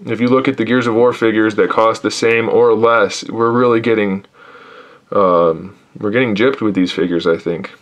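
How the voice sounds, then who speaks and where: Guy doing a toy review, lowish voice, tired and kinda hoarse, griping a lot. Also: steady tone, normal speed. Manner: critical, tired, complaining. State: losing his voice. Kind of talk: casual product review, off-camera voice.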